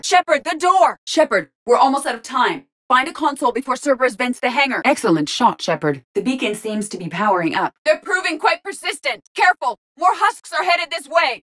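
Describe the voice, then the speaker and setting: An actress playing a composed, analytical female artificial intelligence in a video game, with precise, formal, measured diction. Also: on the low side, close to an everyday voice. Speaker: game character, squad companion. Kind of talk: video game voice lines, sci-fi acting.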